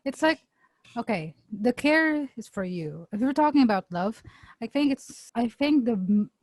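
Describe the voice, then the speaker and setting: A young Filipino woman co-hosting a podcast, her voice a touch high and recorded dry and close. She is playful, searches for her words, and slows down to weigh a point. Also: animated, a little mellow, no room sound, rough recording. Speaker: podcast co-host. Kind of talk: casual chat.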